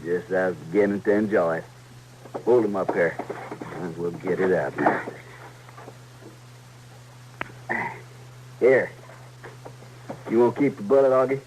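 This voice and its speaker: An actor with a rustic American accent playing a sadistic, mock-polite Old West outlaw leader in a radio western. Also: male voice, deep voice, moderate pace, pauses often, darkish tone, close to an everyday voice. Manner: folksy, sneering, mock-courteous. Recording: noisy vintage radio recording with hum.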